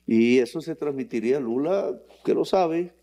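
A man answering an interview question in a measured, explanatory way. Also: medium pitch, lively intonation, warm tone. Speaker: interview guest.

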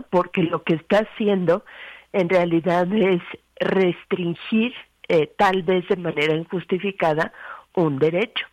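A retired woman judge from Mexico, a guest on a radio interview, explaining a legal point in a measured, teaching way with frequent hesitation fillers. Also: lowish voice, a little mellow, no room sound, rough recording.

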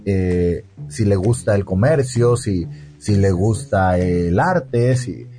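A man with a Mexican accent hosting a conversational interview, repeating words haltingly as he forms his questions. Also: sits low, dark tone, soft background music. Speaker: interviewer, host.

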